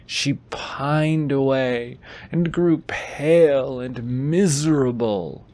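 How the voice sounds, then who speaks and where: A man's voice: mid-range voice, slow pace, a little mellow. A man in a boyfriend audio roleplay, reading a bedtime story aloud with goofy, teasing casual asides.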